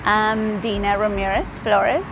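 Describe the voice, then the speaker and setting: A woman's voice: mid-range voice, steady tone, slow pace, vocal fry, noisy background. A woman from Honduras, a native Spanish speaker with a Honduran accent, giving a personal interview account.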